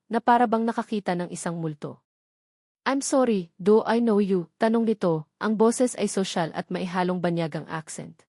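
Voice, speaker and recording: A woman's voice: mid-range voice, a little mellow, no room sound. Filipino woman narrating drama, dry sound. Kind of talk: dramatic scripted story narration.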